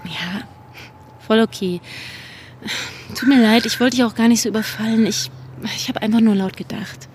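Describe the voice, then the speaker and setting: An actress playing a secretive, uneasy young undercover activist in a naturalistic audio drama, speaking casually and hesitantly. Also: female voice, fairly high pitch, normal speed, noisy background, natural voice. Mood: nervous, placating. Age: young adult. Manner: hesitant, placating, casual youthful speech. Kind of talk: naturalistic fiction podcast acting.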